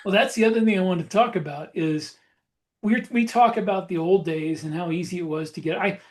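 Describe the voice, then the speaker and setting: A man with a distinctly high voice for a male speaker talks as a podcast co-host and author. His style is casual and conversational. He speaks haltingly, circling back to repeat and rephrase what he means.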